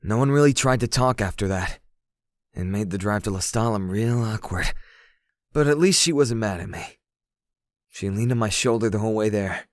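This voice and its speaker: A man's voice: lowish voice, moderate pace, no room sound, close to an everyday voice. A man playing a loyal, hot-tempered young man in an audio drama, speaking in a casual, slangy way. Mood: subdued, reflective. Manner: casual, slangy first-person storytelling. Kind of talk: audio drama narration and dialogue.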